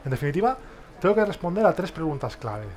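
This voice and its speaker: Lively man leading a business workshop. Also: a little high, fast talker. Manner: lively, questioning the audience, many tag questions. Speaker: workshop speaker. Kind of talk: interactive business workshop talk. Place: large exhibition hall, small stage. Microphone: headset mic.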